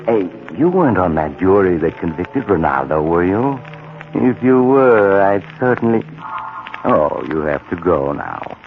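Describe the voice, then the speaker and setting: An actor playing a sly, macabre storyteller, narrating and hosting an old-time radio horror show in a theatrical, confiding way. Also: male voice, low-pitched, sing-song, a little slow, exaggerated voice. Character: macabre, sly male storyteller living beside a cemetery. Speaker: host and narrator. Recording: tinny old radio recording. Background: music.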